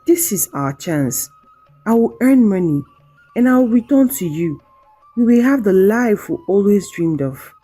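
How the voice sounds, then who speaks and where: A woman's voice: medium pitch, lively intonation, a little slow, warm tone, breathy edge, faint music behind. A woman storyteller voicing an earnest, ambitious young village man in love, tender and solemn. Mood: solemn, emotional.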